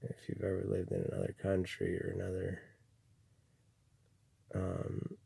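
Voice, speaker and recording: A man from Chile giving a slow, rambling spiritual monologue, full of restarts and pauses. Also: completely flat delivery, warm tone, no room sound. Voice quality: gravelly, husky low voice. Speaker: spiritual speaker.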